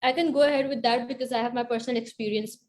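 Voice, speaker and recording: Higher-voiced woman sharing advice. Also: fairly even intonation, rapid-fire, darkish tone, a little hiss or hum. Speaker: panelist. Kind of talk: advice and personal experience in an online panel.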